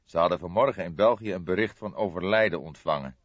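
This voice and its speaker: A man reading an audiobook as a shrewd older police detective, speaking softly in a calm, measured, deliberate way. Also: low voice, dry recording, muffled recording. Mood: matter-of-fact. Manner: measured, deliberate, soft-spoken.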